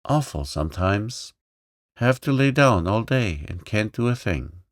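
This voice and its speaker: A man's voice: lowish voice, very animated, unhurried, dry recording, studio quality. A man reading aloud, voicing a meek, poor young boy who is lame and speaks in humble, plain slang. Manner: humble, plain-spoken, says "ain't"-style slang.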